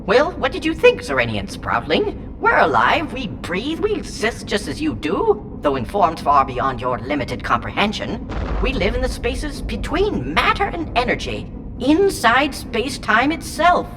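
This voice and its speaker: A woman's voice: a little high, huge pitch swings, measured pace. A woman voicing an ancient, whimsical and haughty alien entity inside a small robot in an audio drama, speaking in theatrical, rambling, pompous riddles. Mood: lofty, mocking. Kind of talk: full-cast audio drama acting. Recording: clear noise bed behind the voice.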